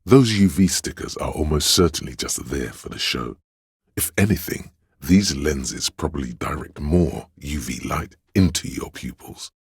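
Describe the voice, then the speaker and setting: A man voicing a sly, flattering inner voice in a video game, with theatrical, courtly, old-fashioned diction and a gravelly, husky bass. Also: a little slow, no echo, studio quality, exaggerated voice. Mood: knowing, confiding. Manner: grandiose, archaic courtly diction, conspiratorial. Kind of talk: scripted video game voice acting, mock-Shakespearean.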